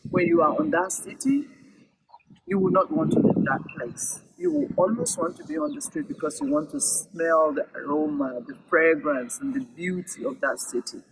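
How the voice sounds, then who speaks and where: A man with a high-pitched voice tells a story aloud. His delivery is very lively in pitch, with deliberate, emphatic phrasing. The recording is clearly noisy, with clicks. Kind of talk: oral storytelling read-aloud. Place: virtual event recording.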